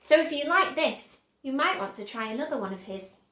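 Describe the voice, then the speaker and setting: A woman reading a children's story aloud with expressive storybook narration. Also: high-pitched, brisk. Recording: roomy, echoing indoor sound.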